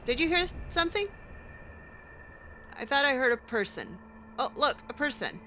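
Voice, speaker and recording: A woman's voice: sits high, sing-song, moderate pace, pushed and bright, slight background noise. A woman doing in-character improvised narration of a video game, musing aloud to herself as she goes. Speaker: let's play host roleplaying her character.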